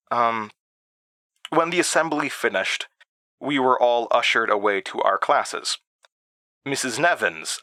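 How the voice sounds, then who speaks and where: A man's voice: mid-range voice, sharp tone, no room sound. An actor playing an uneasy man who recounts childhood memories in a confiding, hesitant audio drama monologue. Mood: troubled, wistful.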